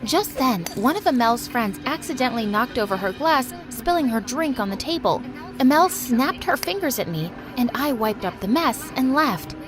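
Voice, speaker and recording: A woman playing a kind, nervous teenage girl who narrates her own story in an animated video. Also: fairly high pitch, moderate pace, natural voice. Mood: uneasy storytelling. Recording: music under the voice.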